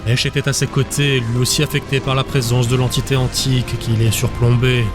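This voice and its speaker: A male narrator reads a dramatic fantasy audiobook in a level, medium-pitched voice. The scripted storytelling runs over clearly audible music. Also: little pitch movement, a little fast.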